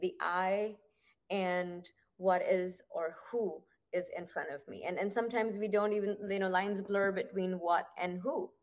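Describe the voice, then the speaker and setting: A woman's voice: lowish voice, fairly even intonation, measured pace. A woman hosting an interview, asking long, reflective questions dotted with "you know". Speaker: podcast host, interviewer.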